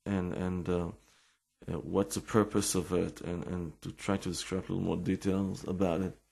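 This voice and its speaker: A middle-aged Israeli man with an accent, telling his life story in halting English full of restarts and fillers. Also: low-pitched, level tone, gravelly, a little mellow, husky. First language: Hebrew. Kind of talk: personal storytelling and explanation.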